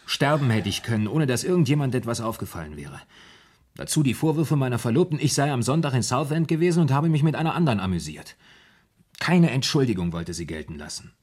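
An actor in a 1970s German radio play, playing a troubled, brooding man confessing his fear of madness in halting, dramatic storytelling. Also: mid-range voice, expressive, darkish tone, breathy edge. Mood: wretched, aggrieved.

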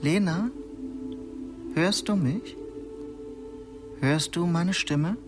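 An actor playing a calm male sleep specialist who speaks in a measured, guiding way in a radio drama. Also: a little high, music in the background. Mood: soothing, guiding.

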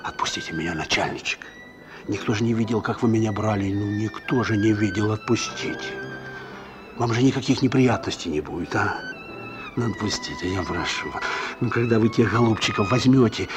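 An actor playing a street-tough petty criminal in a Soviet radio drama, slangy and insolent, with a desperate edge. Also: male voice, mid-range voice. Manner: slangy, insolent, pleading under pressure.

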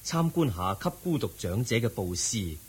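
An actor playing the Buddha as a serene, compassionate teacher in a radio drama, speaking measured, earnest Cantonese with a Hong Kong accent. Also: male voice, lowish voice, very animated, breathy edge, full character voice. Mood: consoling, instructive.

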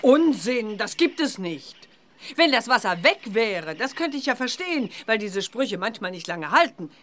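A sensible mother witch in a children's radio play. She is firm and emphatic, with a fairly high voice and lively swings in pitch. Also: moderate pace. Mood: indignant, firm. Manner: matter-of-fact, emphatic. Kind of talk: scripted children's audio drama acting.

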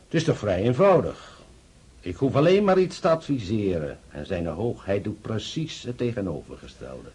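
A man's voice: lowish voice, very animated, moderate pace, darkish tone, slightly rough, heavily acted. A man acting a shrewd, superior senior general in a radio play, condescending and pointed. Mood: sardonic.

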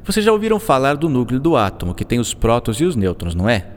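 A Brazilian actor playing a courteous, patient young physicist in a radio drama, explaining things clearly. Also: male voice, mid-range voice, wildly swooping pitch, fast, big-room sound, faint music behind. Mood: patient, explanatory.

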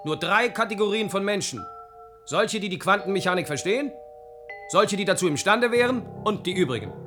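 A man's voice: high voice, expressive, fairly quick, over music. An actor playing a proud young physicist, declaiming his elitist creed with self-assurance in a radio drama. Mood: proud, emphatic.

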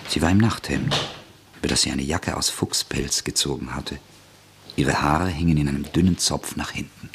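A man narrating a radio drama in a measured, even manner. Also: extremely low pitch, slight background noise. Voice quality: husky, gravelly.

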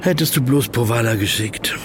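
A man's voice: mid-range voice, moderate pace, crowd noise, lightly acted. A man acting a pedantic, brooding, awkward corporate lawyer in a German radio drama, fussy and dryly ironic in his digressions. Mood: brooding, self-critical. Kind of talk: German radio drama, dialogue and inner monologue.

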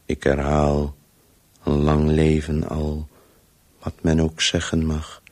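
A man's voice: very deep voice, slow. An actor playing a weary, driven man who tells a story compulsively in terse, broken phrases in a radio play. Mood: weary, narrating. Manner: fragmented, terse, monotone, urging. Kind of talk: Dutch radio play monologue.

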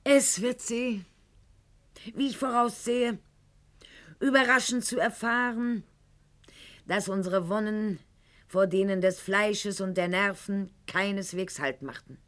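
A woman's voice: mid-range voice, lightly acted. An actress playing a proud, sentimental aristocratic lady of Goethe's era in a radio drama, with a mannered, articulate, declamatory delivery. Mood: deliberate, confiding. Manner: mannered, articulate, declamatory, swinging to hushed confiding tones. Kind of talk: theatrical radio drama monologue.

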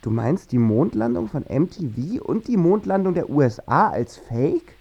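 A sceptical questioner in a radio play, kinda high voice, mellow, asks rhetorically. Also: male voice, big pitch swings, normal speed, gravelly, husky, highs cut off, exaggerated voice. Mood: questioning, sceptical. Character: man sceptically questioning the moon landing. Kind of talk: scripted German radio drama, questioning narration.

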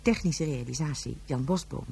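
A woman announcer reading radio drama credits in a measured, formal, scripted way. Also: deep voice, creaky, mellow, slight background noise.

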